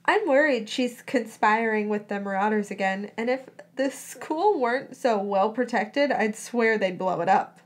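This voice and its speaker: A woman's voice: a little high, unhurried, few pauses. A woman voicing a bossy, nosy teenage schoolgirl in a story, insistent and exasperated with her best friend. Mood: worried.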